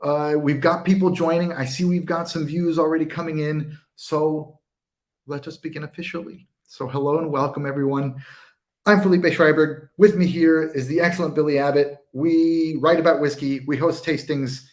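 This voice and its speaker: A man's voice: on the high side, fairly even intonation, moderate pace, a little mellow. An American man in his thirties co-hosting a whisky tasting livestream, chatty and self-mocking, speaking over a video call.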